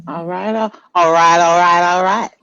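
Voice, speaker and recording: A woman's voice: fairly low pitch, fairly even intonation, normal speed, bright, forceful tone, smooth, no echo, muffled recording. A woman with an American accent hosting a casual podcast in an informal, conversational way, often repeating herself. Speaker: podcast host. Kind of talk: casual live podcast chat.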